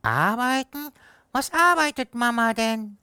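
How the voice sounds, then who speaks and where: A woman's voice: a little high, lively intonation, a little slow, pushed and bright, smooth, no room sound, studio quality. A woman voicing the smallest earthworm child, who is whiny and asks many questions. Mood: curious. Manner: whiny, pleading.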